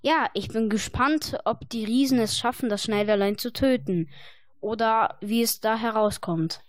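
A woman's voice: on the high side, moderate pace, dry recording. A woman co-presenting a radio show in scripted presenter chat.

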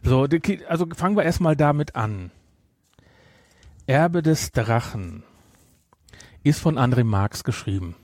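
A man hosts a casual podcast in a mid-pitched voice. He speaks haltingly and often corrects himself. The sound is dry, with no room on it. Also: animated, no room sound. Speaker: podcast host.

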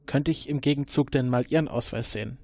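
A man's voice: medium pitch, fast talker, darkish tone. A man playing an investigator in a tabletop game, asking formal, polite questions and stumbling over his words. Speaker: player.

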